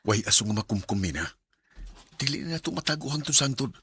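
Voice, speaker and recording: A man's voice: mid-range voice, sing-song, fast talker, a bit bright, slightly rough, exaggerated voice. A man playing an insistent, earnest young lover in a Bisaya radio drama, with a Cebuano Filipino accent. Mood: pleading. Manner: earnest, pressing. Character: Vince, insistent young male lover with secret affair.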